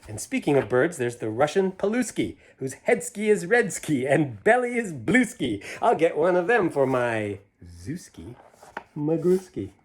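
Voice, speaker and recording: A man reads a rhyming children's book aloud with a lot of melodic swing in his fairly high voice. His delivery is playful and theatrical, and he slips into casual asides to whoever is listening. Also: big pitch swings, a little slow, slight background noise. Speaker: storybook reader. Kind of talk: animated read-aloud of a rhyming children's storybook with chatty asides.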